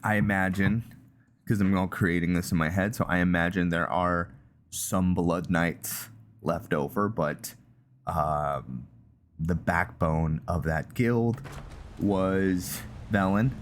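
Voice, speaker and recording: Male gaming host, deep and unhurried, casual and halting, thinking aloud over noticeable game ambience. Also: fairly even intonation, slight background noise. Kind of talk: casual game roleplay commentary.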